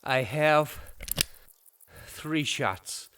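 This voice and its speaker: Slow-drawling sarcastic posse gunman. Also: on the high side, expressive, a bit bright, slight background noise, full character voice. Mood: grim, sarcastic. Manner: sarcastic, grumbling. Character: beleaguered, sarcastic Old West posse gunman. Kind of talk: western audio drama acting.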